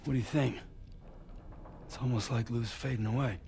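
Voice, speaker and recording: An actor playing an anxious, defensive grieving father in a video game, speaking in terse, halting bursts. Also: medium pitch, fairly even intonation, vocal fry, mellow, slight background noise, natural voice. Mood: worried, confused. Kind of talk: video game cutscene acting.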